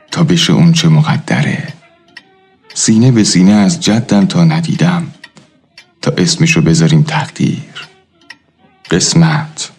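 A man narrates a nostalgic radio play in a reflective, literary way. His voice is low and husky, with soft music behind it. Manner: reflective, storytelling.

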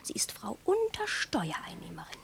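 A woman playing a pert, witty young small-town woman in a classic comedy radio play, brisk, teasing and bossy. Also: medium pitch, wildly swooping pitch, vocal fry, whispered, heavily acted. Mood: scolding, teasing. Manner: brisk, mock-strict, teasing.

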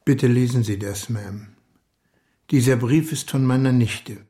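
Actor as grave, wounded working-class uncle. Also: male voice, medium pitch, mellow, close to an everyday voice. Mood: respectful, firm. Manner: grave, earnest, deliberate. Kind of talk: radio drama acting.

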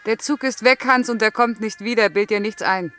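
A woman's voice: fairly high pitch, moderate pace, a bit bright, faint music behind, lightly acted. An actress in a German radio drama playing a curt, dismissive woman who talks with dry sarcasm. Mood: dismissive, wary. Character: curt, mocking East German woman brushing off an old flame.

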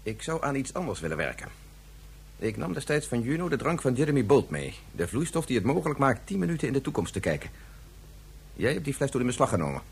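An actor playing a defiant, principled scientist in a radio drama, with a rough, husky voice and a stiff manner. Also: male voice, medium pitch, sing-song, fast, exaggerated voice. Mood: strained, stubborn. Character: defiant, principled scientist forced to build weapons.

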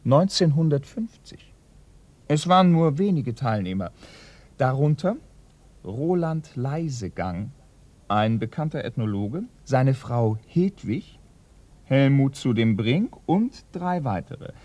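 An actor playing an earnest, bookish police assistant in a radio crime play, speaking in a precise, educated way. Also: male voice, mid-range voice, very animated, slow pace, a little mellow, dry recording, dull audio. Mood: earnest, informative. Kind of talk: German radio play acting.

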